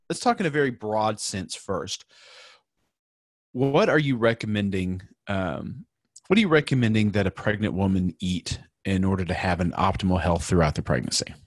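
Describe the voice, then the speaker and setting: Low-voiced male podcast host. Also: breathy edge, dry recording, studio quality. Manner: halting, many fillers and restarts. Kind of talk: podcast interview.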